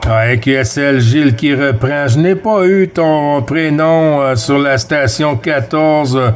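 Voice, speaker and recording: Higher-pitched Québécois man on CB radio. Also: slow. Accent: Québécois French. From Quebec, Canada. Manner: friendly, addresses others as friend. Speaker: amateur CB radio operator. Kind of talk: CB radio contact, casual exchange with callsigns.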